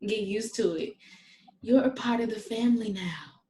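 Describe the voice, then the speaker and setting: An echoey recording of a female voice at middle pitch. She is an assured, welcoming woman acted at a table read, delivering her lines matter-of-factly. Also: normal speed, warm tone, natural voice. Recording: echoey room.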